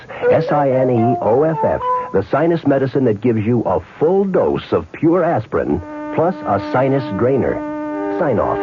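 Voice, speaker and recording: A man announcing a 1970s radio commercial in an earnest, persuasive way. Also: medium pitch. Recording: dull old radio broadcast with loud music.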